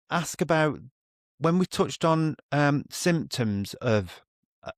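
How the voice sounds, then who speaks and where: A man hosting a podcast interview, halting and rambling as he thinks aloud in a conversational way. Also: mid-range voice, no room sound, studio quality. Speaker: podcast host.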